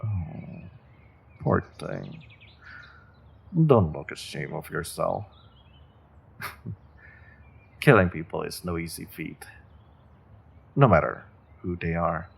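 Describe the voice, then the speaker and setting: A man performs a villainous male sorcerer from a fantasy audio drama. The voice is deep and full of gravel, unhurried and slow. He is smug and condescending, feigning kindness while quietly threatening. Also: animated, heavily acted. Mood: mocking pity. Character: menacing evil male sorcerer, smug, mock-kind captor. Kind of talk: fantasy audio roleplay monologue.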